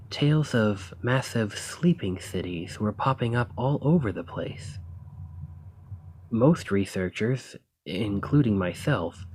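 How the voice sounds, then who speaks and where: A man playing an earnest, eager researcher of ancient civilizations in an ASMR roleplay, speaking in formal, gently sympathetic phrasing. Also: medium pitch, slight background noise, close to an everyday voice. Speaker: audio roleplay performer. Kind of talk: ASMR roleplay, scripted character acting.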